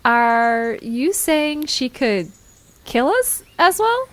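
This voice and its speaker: A woman's voice: high voice, very animated, extremely slow, fairly bright tone, heavily acted. A woman playing a friendly, curious young adventurer who talks casually and asks questions in an audio drama. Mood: uneasy curiosity. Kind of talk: acted audio drama dialogue.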